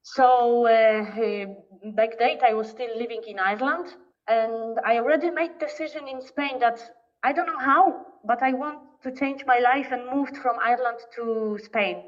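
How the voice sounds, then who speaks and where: A woman from Poland with a Polish accent, a podcast guest telling her story in English, often pausing to search for words. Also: a little high. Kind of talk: casual interview talk. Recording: distant, dull lo-fi remote audio.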